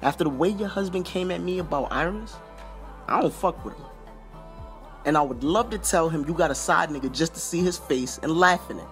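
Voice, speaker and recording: A man's voice: on the high side, fairly quick, fairly bright tone, faint music behind. A man playing a bitter, profane and confrontational ex-husband in a modern audio drama. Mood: smug, confrontational.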